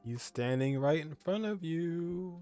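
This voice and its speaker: A man's voice: fairly high pitch, expressive, slow, dark tone, faint music behind. A man giving casual, slangy commentary as he plays a video game. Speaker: game streamer.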